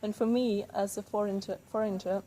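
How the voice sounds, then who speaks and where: A woman's voice: medium pitch, steady tone, brisk, dark tone, a little hiss or hum, lightly acted, rough recording. A woman playing an earnest young newcomer in a classroom role-play, speaking hesitantly with many fillers. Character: young woman newcomer, eager community volunteer.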